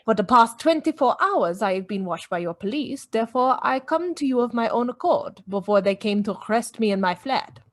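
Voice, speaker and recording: A woman's voice: fairly high pitch, animated, a little fast, hardly any pauses, close to an everyday voice. Woman playing an imperious, elegant Viennese lady. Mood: defiant. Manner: poised, commanding, formal. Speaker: actor in a radio play. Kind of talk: dramatic radio-play acting.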